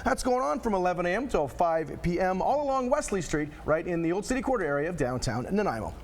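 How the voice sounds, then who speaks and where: High-pitched, quick-talking man hosting. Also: sing-song, a little hiss or hum. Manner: jokey, chatty. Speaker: TV show host. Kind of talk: upbeat magazine show presenting with puns.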